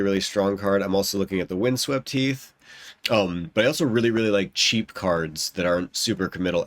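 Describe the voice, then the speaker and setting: A man's voice: fairly low pitch, no room sound. A young man with a stuffed-up nose chatting casually while he plays, rambling and often restarting his sentences. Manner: rambling, self-correcting, lots of ums and likes. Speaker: gaming YouTuber. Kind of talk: casual commentary while drafting a card game.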